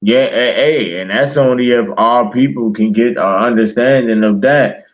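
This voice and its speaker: A man's voice: medium pitch, normal speed, highs cut off. A man in casual, passionate conversation as a talk show host, speaking emphatically with slang and swearing. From Baltimore, United States.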